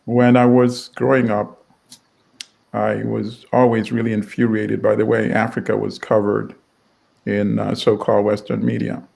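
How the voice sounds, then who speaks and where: Middle-aged man from Uganda, publisher as interview guest; gravelly, measured, wry delivery. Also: on the low side, level tone, a little mellow. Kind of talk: storytelling interview answer.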